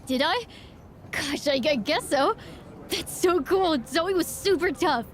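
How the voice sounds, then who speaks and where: A woman's voice: extremely high pitch, unhurried, slight background noise. A woman playing a naive, perky, eccentric young wrestler with a girlish, gushing manner in a video game. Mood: innocent puzzlement. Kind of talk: animated video game dialogue.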